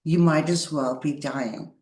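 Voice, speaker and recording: Slow, reflective woman, Spanish. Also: low-pitched, darkish tone. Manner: slow, halting, reflective, with small laughs. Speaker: workshop teacher. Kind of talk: spiritual workshop talk. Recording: roomy echo.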